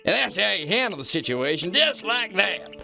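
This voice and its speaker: An actor playing a pompous, folksy, bullying kingpin boss who boasts in a drawl, in a satirical radio drama. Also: male voice, high voice, big pitch swings, moderate pace, vocal fry, over music, exaggerated voice. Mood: boastful, rattled.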